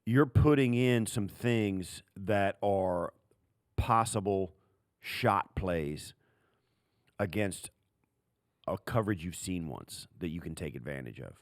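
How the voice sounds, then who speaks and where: An American man with a low voice breaks down football as a podcast co-host. He talks casually and haltingly, stacking example on example, in a dry recording. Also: expressive, no room sound. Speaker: sports analyst, podcast co-host.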